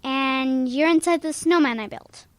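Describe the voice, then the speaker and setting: A woman's voice: high voice, unhurried, dry recording. A woman playing an earnest, curious young schoolboy with a puzzled, childlike manner in a children's audio drama.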